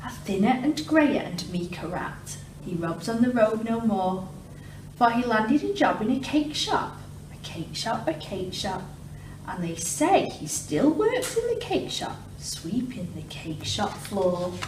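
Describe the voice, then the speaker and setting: Female children's story reader, animated intonation, measured rhythm, slightly distant mic with background noise. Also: medium pitch, roomy sound. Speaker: primary school teacher reading aloud. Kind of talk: children's storybook reading in rhyme.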